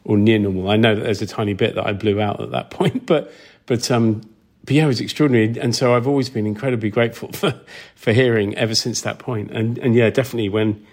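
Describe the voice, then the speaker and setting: A man's voice: fairly low pitch, fairly even intonation, quick pace. A man talking casually as an interview guest, rambling through stories and acting out reactions. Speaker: interview guest, sound designer. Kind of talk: casual anecdotal interview talk.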